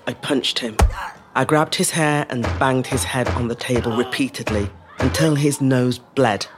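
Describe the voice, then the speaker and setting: Slow-speaking, reflective middle-aged woman storyteller. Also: a bass voice, music in the background. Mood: defiant. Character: resilient middle-aged Zimbabwean-British woman recalling her youth. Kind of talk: scripted dramatic monologue, first-person storytelling.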